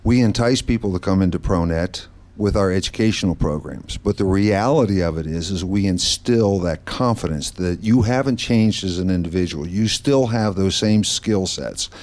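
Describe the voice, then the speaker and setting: A middle-aged man from the Netherlands, a guest on a radio talk show, explaining his nonprofit work in a steady, explanatory way. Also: low voice, normal speed, hardly any pauses. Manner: steady, explanatory, occasional restarts. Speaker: guest, branch manager of a nonprofit. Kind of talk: radio interview answers. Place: studio set in a mall.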